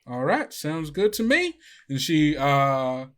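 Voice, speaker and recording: This is a game master with a mid-pitched voice, hosting a tabletop roleplaying podcast. The delivery is conversational and improvised, setting each scene in descriptive detail. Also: big pitch swings, takes it slowly, fairly bright tone.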